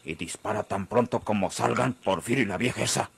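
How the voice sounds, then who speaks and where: Gravelly, menacing Mexican ranch boss. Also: male voice, on the low side, a little fast, heavily acted. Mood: tense, plotting. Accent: rural northern Mexican. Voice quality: gravelly, husky. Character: menacing, suspicious rural Mexican boss on a manhunt. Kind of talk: old Mexican radio serial acting.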